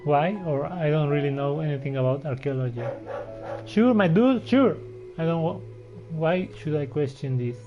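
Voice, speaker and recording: A man's voice: a little high, lively intonation, normal speed. A man giving casual gameplay commentary as a streamer, chatty and often hesitant as he searches for words. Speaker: game streamer. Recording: dull lo-fi voice, game music behind.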